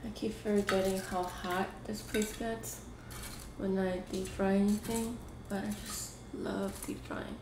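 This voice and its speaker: A young woman talks slowly and casually, thinking aloud while she cooks and eats. Her voice bounces around a small echoey room. Dishes and cutlery clatter clearly behind her. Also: medium pitch, noisy background. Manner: relaxed, chatty, thinking aloud. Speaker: mukbang host. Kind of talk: casual chat while cooking and eating. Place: small home kitchen room, indoors. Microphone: desk microphone on stand. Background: cooking and dish clatter.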